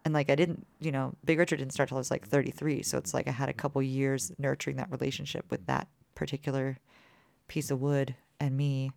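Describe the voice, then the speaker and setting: A woman in her thirties with a low voice, chatting casually as a musician guest and filling her talk with "like". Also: level tone, brisk, vocal fry, a little mellow, no room sound. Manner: chatty, peppered with "like" fillers. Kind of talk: casual interview chat.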